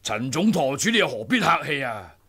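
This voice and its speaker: A man's voice: medium pitch, big pitch swings, fairly quick, bright, forceful tone, slightly rough, full character voice. An actor playing a combative martial-arts master in his sixties in a Hong Kong Cantonese radio drama. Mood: challenging, jealous.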